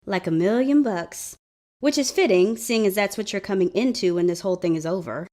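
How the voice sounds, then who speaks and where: An actress playing a teasing, loyal young maid of honor with playful, sardonic banter in an audio drama. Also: female voice, medium pitch, fairly quick, a little mellow, natural voice.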